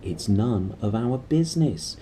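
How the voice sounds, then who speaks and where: A man's voice: on the low side, lively intonation, a little slow, noisy background, pitched above the performer's own voice. A man voicing a self-righteous male animal spirit companion in a storybook, prim and scolding. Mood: scolding, smug. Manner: scolding, superior.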